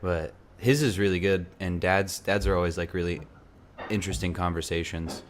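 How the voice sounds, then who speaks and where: A man's voice: deep voice, fairly even intonation, normal speed, slight background noise. A young man hosting a podcast in casual chat, rambling with lots of restarts and filler, and losing his voice. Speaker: podcast host. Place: apartment beside a busy night market.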